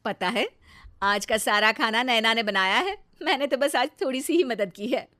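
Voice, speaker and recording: Casting: female narrator as patient young sister-in-law, gentle and encouraging, high dry voice, storybook. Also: normal speed, dry recording.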